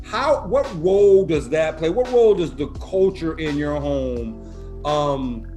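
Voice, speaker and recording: Affirming male host, slightly high voice. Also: animated, slow pace, mellow, soft background music. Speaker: podcast host. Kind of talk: casual conversational interviewing.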